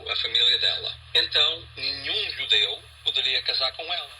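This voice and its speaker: A man's voice: medium pitch, animated, brisk, pushed and bright. A man speaking in a radio broadcast, heard through a shortwave receiver. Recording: noisy shortwave radio playback.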